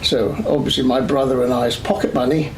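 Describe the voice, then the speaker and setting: A man in his sixties with an English accent, recounting his life in a measured oral history interview full of "uh" pauses. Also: medium pitch, steady tone, a little mellow, a little hiss or hum, low-quality recording. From Scotland, raised in England. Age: 60s. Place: his home.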